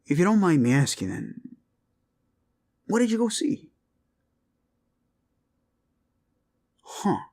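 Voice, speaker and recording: A man's voice: sits high, very animated, moderate pace, a little mellow. A man playing a folksy, rumpled older homicide detective in a roleplay audio, rambling absent-mindedly and probing politely. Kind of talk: roleplay ASMR audio drama.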